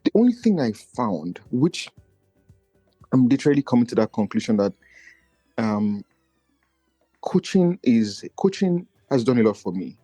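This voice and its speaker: A man's voice: sing-song, a little slow, long pauses, mellow, breathy edge, dry recording, faint music behind. A man, a podcast guest talking in an earnest, searching way and often restarting his thoughts. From Nigeria. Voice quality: low voice he feels does not carry. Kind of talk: reflective personal conversation.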